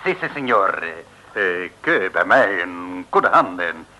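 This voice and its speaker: Scheming foreign agent, mock Spanish accent, suave, bright forceful voice, lively intonation, old radio comedy. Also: male voice, low voice, slow, full character voice. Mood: smooth, self-important. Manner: oily courteous, broken Dutch peppered with Spanish phrases. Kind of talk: 1960s comic radio play acting.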